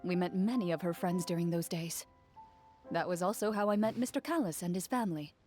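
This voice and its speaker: An actress playing a composed, stoic young female duelist in a video game, speaking in a measured, formal way. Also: fairly low pitch, a little mellow, faint music behind, lightly acted. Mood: reflective. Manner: measured, formal, matter-of-fact. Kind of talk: anime-style video game dialogue.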